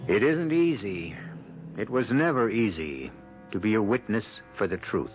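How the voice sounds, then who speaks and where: A man hosting a radio mystery drama with theatrical scripted narration, measured, portentous and wry. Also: lowish voice, lively intonation, lo-fi sound. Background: music.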